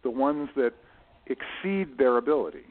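A man giving thoughtful, explanatory interview answers in a halting way, often restarting and correcting himself as he goes. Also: mid-range voice, animated, narrow, tinny audio. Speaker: guest, writer managing his late father's literary estate. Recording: band-limited radio-style voice recording.

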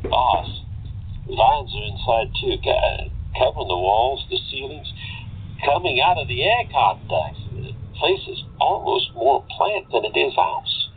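A man's voice: mid-range voice, big pitch swings, measured pace. An actor playing a nervous, dim henchman burglar in an audio drama, stammering and deferential, heard through a radio-like filter. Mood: wary, uneasy. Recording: tinny phone-like radio line with hiss.

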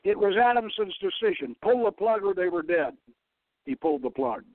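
Guy reading a sci-fi story out loud over a tinny phone line, kinda high voice, trips on words. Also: sing-song, normal speed, no echo. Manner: stumbles and corrects words while reading. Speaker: host reading his own novel. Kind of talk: dramatic reading of satirical science fiction.

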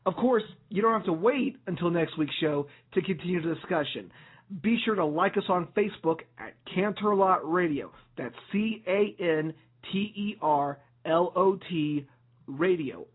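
A man hosting an internet radio show as DJ, hoarse and raspy, talking in an upbeat promotional way with some fillers. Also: fairly high pitch. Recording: tinny phone-like line.

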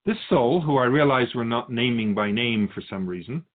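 A man speaking as a spiritual guide, answering in a measured, assured, didactic way in philosophical conversation. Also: medium pitch, animated, narrow, tinny audio.

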